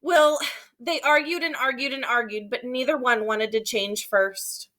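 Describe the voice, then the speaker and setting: High, bright-voiced woman reading a storybook. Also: unhurried. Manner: expressive, emphatic, explaining to children. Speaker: teacher reading aloud to children. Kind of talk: animated children's storybook read-aloud.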